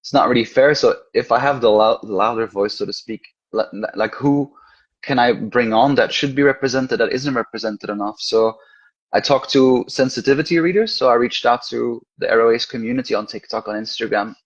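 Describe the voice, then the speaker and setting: A husky man from Europe chats easily as a guest author, laughing often and filling his talk with casual asides. His voice is slightly rough, and the sound is dull and lo-fi, as if from an online call. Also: mid-range voice, brisk. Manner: chatty, laughs often, many "like" fillers. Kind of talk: casual interview chat.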